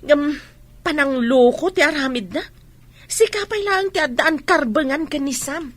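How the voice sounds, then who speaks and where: A woman's voice: high-pitched, animated, a little slow, no echo. An actress playing an opinionated, sympathetic female friend in a radio drama, frank and gossipy. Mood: indignant.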